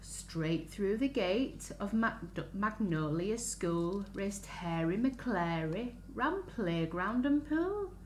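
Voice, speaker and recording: A woman reads a picture book to little children in a slow, sing-song voice that rises and dips widely. She often turns to the listeners with simple questions. The room is echoey, with faint noise behind her. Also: mid-range voice, expressive. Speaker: children's story reader. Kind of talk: picture-book read-aloud for young children. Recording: roomy echo, faint background noise.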